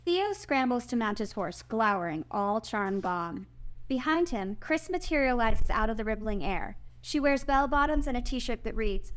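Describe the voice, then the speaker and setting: Woman, fairly high voice, scripted narration, stage directions, table read.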